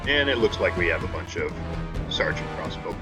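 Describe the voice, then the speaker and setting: Low-voiced excitable gaming commentator. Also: male voice, normal speed. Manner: excitable, talks to viewers, shouts in fights. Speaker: gaming channel host. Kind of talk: live gameplay commentary. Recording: game music behind voice.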